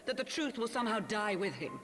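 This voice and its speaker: An actress playing an indignant, dutiful knight officer in a fantasy video game. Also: female voice, medium pitch, expressive, a little fast, natural voice. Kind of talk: dramatic video game voice acting. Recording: music loud behind the voice.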